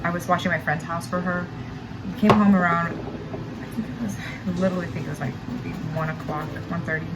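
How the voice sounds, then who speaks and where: A woman's voice: fairly low pitch, steady tone, fairly quick, very noisy. A young American woman telling a story casually and informally, saying "like" often. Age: young adult. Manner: informal, peppered with "like". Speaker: makeup vlogger. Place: small makeup studio, indoors.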